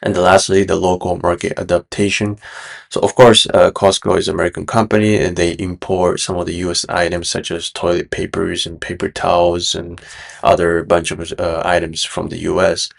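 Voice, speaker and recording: Man, young adult, deep voice, creaky fry, measured explainer delivery, frequent uh pauses. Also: little pitch movement. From Japan. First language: Japanese. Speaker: business explainer host. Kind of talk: explainer video to camera.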